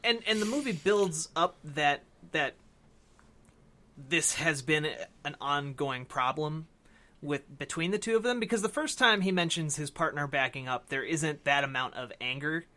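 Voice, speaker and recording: A man's voice: fairly high pitch, animated, a little slow, pushed and bright. A man co-hosting a podcast, discussing film casually and thinking aloud as he explains. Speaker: podcast co-host.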